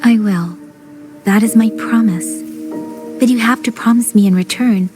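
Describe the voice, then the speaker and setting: A composed, resolute young soldier heroine from a video game played by a woman. Her voice is on the higher side, earnest and deliberate. Music is clearly heard behind her. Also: natural voice. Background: background music.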